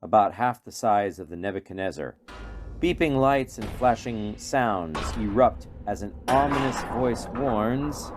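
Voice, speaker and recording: A man's voice: on the low side, lively intonation, measured pace, over music. A man reading scripted narration for a radio play in a dramatic storytelling manner.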